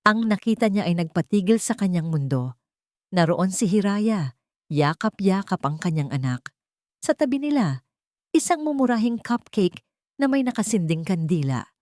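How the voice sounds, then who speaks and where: A woman with a Filipino accent narrating a scripted audio drama in a deliberate, dramatic way, phrase by phrase. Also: mid-range voice, very animated, a little mellow, dry recording, clean studio sound. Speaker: storyteller.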